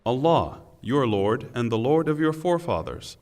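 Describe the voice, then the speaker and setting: A man reading a scripture translation in a solemn, formal, deliberate way, with pauses. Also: medium pitch, sing-song, a little mellow. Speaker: translation reader. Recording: long hall reverb.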